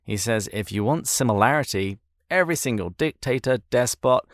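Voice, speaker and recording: A man's voice: mid-range voice, lively intonation, moderate pace, no echo, clean studio sound. A man hosting a podcast interview, talking in a thoughtful, conversational way as he links ideas and puts questions to his guest.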